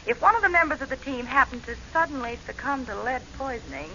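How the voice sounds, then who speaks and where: A woman's voice: sits high, animated, quick pace, crowd noise. A woman playing a cool, calculating blackmailer with pointed, controlled menace in a 1940s radio drama. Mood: sly, threatening. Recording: muffled, noisy old radio recording.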